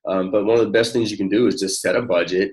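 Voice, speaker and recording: A man with a low-pitched voice gives one-on-one coaching in a casual, encouraging way. He talks in halting, informal phrases, circling back as he explains. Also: fairly even intonation, darkish tone, rough recording. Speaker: network marketing coach.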